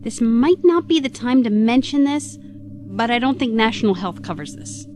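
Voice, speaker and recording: A woman plays a plucky young sidekick in an audio drama, wry and quick with a quip. Her voice sits high and moves about in a lively way, with faint music underneath. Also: close to an everyday voice. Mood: wry, joking. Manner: wry, playful, quippy. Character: plucky, wisecracking young female travelling companion. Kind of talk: scripted audio drama acting.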